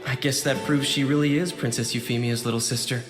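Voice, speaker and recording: Low-voiced, gentle teenage boy. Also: fairly even intonation, quick pace, over music. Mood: modest. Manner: hesitant, polite. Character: gentle, earnest, modest teenage boy student. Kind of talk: anime dub audio drama acting.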